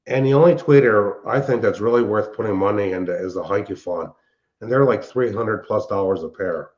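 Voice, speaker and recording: A man's voice: on the low side, a little fast, darkish tone. An older man chatting casually and technically, explaining things in a rambling way as a show host and hobbyist. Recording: dull, band-limited sound.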